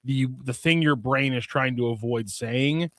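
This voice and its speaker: A man's voice: mid-range voice, a little slow, fairly bright tone, no room sound. Teasing, stumbling radio co-host man. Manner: teasing, stumbles and restarts when arguing. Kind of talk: casual banter.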